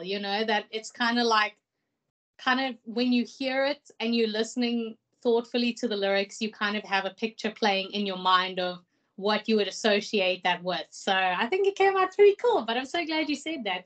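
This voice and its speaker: A woman's voice: fairly high pitch, expressive, normal speed, fairly bright tone. A young woman from South Africa, a singer, chatting enthusiastically about her music in a video call interview. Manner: chatty, enthusiastic, many "like" fillers. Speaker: singer guest. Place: small room indoors. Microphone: video call, laptop mic.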